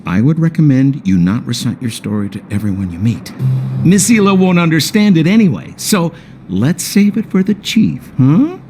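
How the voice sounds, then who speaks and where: An actor playing a slippery, ingratiating schemer in a video game, with a theatrical, flowery, wheedling manner. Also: male voice, a little high, very animated, moderate pace. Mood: wheedling, smooth. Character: slippery, ingratiating male schemer talking his way out of trouble. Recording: loud music under the voice. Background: music.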